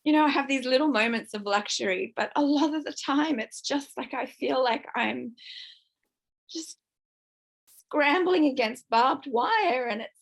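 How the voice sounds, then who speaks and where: Croaky woman, 50s, rather high voice, raw and distressed, vents with swearing. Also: unhurried. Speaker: book club member. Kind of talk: emotional personal sharing.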